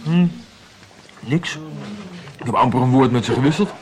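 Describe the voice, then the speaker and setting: An actor playing a polite, modest young traveller in a radio play, speaking hesitantly and courteously. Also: male voice, mid-range voice, normal speed, close to an everyday voice. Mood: guarded. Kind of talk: radio drama acting. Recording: radio play with faint background noise.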